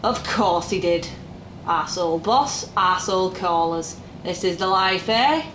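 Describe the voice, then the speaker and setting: A woman's voice: on the low side, unhurried, noisy background, close to an everyday voice. A young woman playing a jaded, sarcastic call-centre worker in her twenties in an audio drama. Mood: wry. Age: young adult. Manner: informal, dry sarcasm. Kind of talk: naturalistic audio drama acting. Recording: roomy, music underneath.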